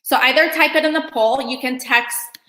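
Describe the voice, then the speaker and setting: A woman's voice: sits high, steady tone, moderate pace, sharp tone, reverberant. Chatty woman host, Albanian native. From Kosovo. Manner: chatty, many ums and restarts. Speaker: event host. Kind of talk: casual online meeting chat.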